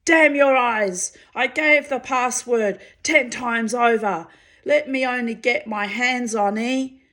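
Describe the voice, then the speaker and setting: Woman doing a hot-headed giant bandit, gruff and rustic, unhurried. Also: medium pitch, natural voice. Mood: furious. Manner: growling, short-tempered, rustic speech. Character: gruff rough-spoken giant outlaw man.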